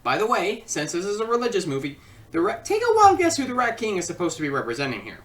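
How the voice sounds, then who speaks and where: A woman's voice: medium pitch, wildly swooping pitch, fast, distant mic. A woman narrating an informal comedic review as a video reviewer, with joking, mock-dramatic asides.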